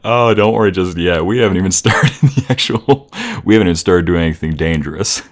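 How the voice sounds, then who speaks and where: Low-voiced, playful boyfriend, acted by a man. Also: a little fast, close to an everyday voice. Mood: cheerful, explaining. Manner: playful, teasing, chuckling, rambling. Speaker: audio roleplay narrator. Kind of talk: casual, intimate boyfriend roleplay.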